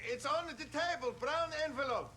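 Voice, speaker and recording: An actor playing a nervous, placating shopkeeper under pressure in a crime video game. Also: male voice, very high voice, moderate pace. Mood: fearful, appeasing. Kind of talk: crime drama game acting.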